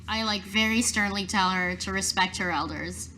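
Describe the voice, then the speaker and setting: A woman's voice: mid-range voice, normal speed, crowd noise, poor audio. A woman playing a tabletop game, improvising her character's lines and actions conversationally. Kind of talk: improvised roleplay in own voice.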